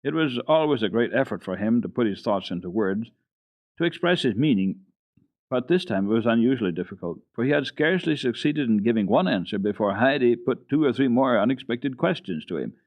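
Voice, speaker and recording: A man giving an unhurried, expressive dramatic reading of a classic children's book for radio, with the odd hesitation. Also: medium pitch, no echo, lo-fi sound. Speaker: storyteller on a children's radio program.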